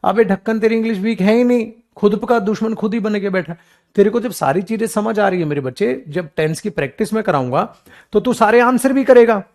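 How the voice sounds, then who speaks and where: A man lecturing on English grammar, switching between scolding and coaxing his students. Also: brisk. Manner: animated, scolds and coaxes students affectionately, calls them beta; mimics whining students in a low weak voice. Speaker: English teacher for government exams. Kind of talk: classroom lecture, mixing English examples with Hindi explanation.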